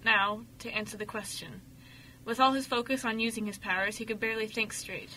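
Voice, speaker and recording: A woman narrating a scripted radio story dramatically, with expressive, emphatic delivery. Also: a little high, fairly quick, poor audio. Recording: faint noise, amateur radio show recording.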